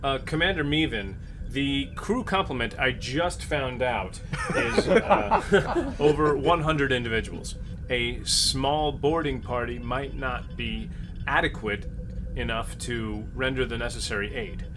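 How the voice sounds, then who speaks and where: A man plays a starship captain in an improvised tabletop game. His pitch is middling, and music runs clearly behind him. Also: measured pace. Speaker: player acting as starship captain. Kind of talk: improvised tabletop role-play.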